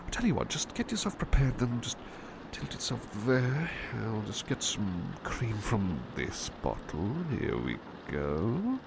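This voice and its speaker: Man acting as gentle male butler, ASMR roleplay, slow pace, creaky fry, polite, hesitant, fussing, reassuring, close mic, noisy ambience. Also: fairly low pitch, wildly swooping pitch, full character voice. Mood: attentive, reassuring.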